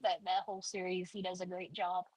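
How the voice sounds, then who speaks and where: A woman's voice: fairly low pitch, little pitch movement, brisk, a little mellow, slightly rough, no echo. A woman guest author chatting in an interview, hesitant, with many fillers. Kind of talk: casual interview chat.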